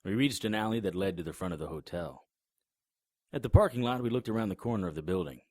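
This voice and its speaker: A man's voice: low-pitched, fast, darkish tone, dry recording. A man narrating a first-person noir horror audio drama in a grim, matter-of-fact manner and voicing its lead character.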